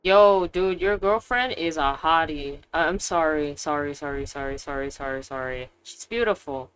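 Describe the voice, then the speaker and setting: A woman's voice: deep voice, normal speed, slight background noise, rough recording. A woman streamer giving casual gameplay reactions in short, playful, slangy remarks.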